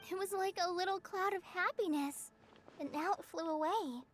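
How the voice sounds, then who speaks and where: A woman's voice: extremely high pitch, moderate pace. A woman voicing a sweet, timid teenage girl in a video game, polite, earnest and hesitant. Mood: wondering. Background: music.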